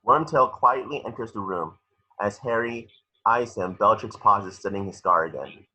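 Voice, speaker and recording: Forceful low-voiced man narrating, rough edge. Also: normal speed, low-quality recording. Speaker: narrator reading stage directions. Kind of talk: scripted narration for a table read.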